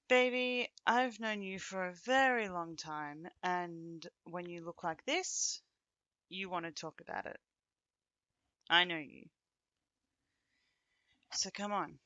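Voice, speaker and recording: A woman acting an affectionate, teasing companion in an intimate ASMR roleplay, speaking casually and conversationally with pauses. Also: fairly low pitch, pushed and bright, no room sound, dull audio. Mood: caring, coaxing.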